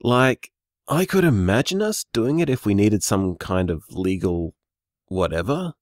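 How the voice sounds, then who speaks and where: An actor playing an easygoing, affectionate man who runs a bar, talking conversationally in an audio drama, warm and teasing. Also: fairly low pitch, very animated, unhurried, dry recording, clean studio sound. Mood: flustered, reassuring. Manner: warm, teasing, rambling reassurance. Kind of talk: audio drama voicemail acting, naturalistic and conversational.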